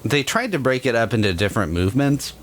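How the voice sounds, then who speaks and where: A man co-hosts a casual music chat in a mid-range voice. His intonation moves up and down in a lively way as he talks. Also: medium pitch, fast, a little hiss or hum. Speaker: podcast co-host. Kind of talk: casual album discussion.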